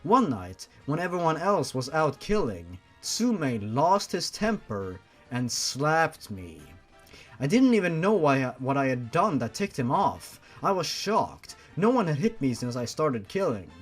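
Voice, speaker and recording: A man's voice: on the high side, big pitch swings, a little slow, soft background music. Sarcastic young man reading fan fiction. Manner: sarcastic, swears freely, breaks off to mock the text. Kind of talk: story reading with sarcastic commentary.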